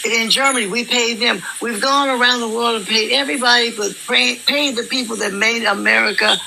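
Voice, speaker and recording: Insistent woman caller with a gravelly voice. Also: on the high side, fairly quick, pushed and bright, slight background noise, rough recording. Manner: insistent, repeats words when starting. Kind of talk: call-in debate.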